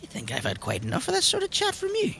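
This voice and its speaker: A man acts out a grumpy old pub regular, gravelly and swooping in pitch. He halts, repeats himself and bristles defensively, as in an improvised roleplay game. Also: huge pitch swings, quick pace, pushed and bright, slight background noise, full character voice. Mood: curt, indignant. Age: older.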